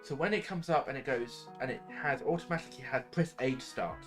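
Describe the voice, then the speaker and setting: A man with a mid-range voice talks casually over clearly audible music. He explains things in a halting way, thinking aloud as he builds each point. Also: medium pitch. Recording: music playing behind the voice.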